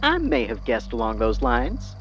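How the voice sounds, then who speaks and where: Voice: mid-range voice, huge pitch swings, a bit bright, slight background noise. Actor as a swooping, musing philosopher. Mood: probing, measured. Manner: measured, formal, musing phrasing. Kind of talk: fan audio drama acting.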